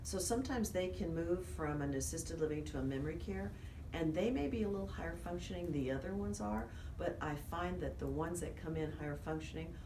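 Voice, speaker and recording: In an echoey, noisy recording, a woman with a fairly low voice gives advice as an interview guest. She talks conversationally and emphatically, repeating phrases for stress and illustrating points with anecdotes. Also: lively intonation, fairly quick. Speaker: interview guest, senior care expert and former memory care director. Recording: roomy, distant microphone, clear background noise.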